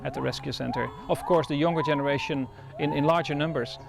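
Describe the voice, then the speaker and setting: A man running a wildlife rescue center, speaking in an interview in a thoughtful way, with pauses and restarts. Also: on the high side, fairly even intonation, vocal fry, over music.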